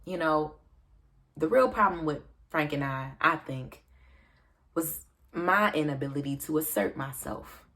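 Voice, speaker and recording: A woman with an American accent performing an aggrieved, self-justifying wife in a dark comic stage monologue, confiding and dramatic. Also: low voice, expressive, unhurried, full character voice. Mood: defensive, indignant.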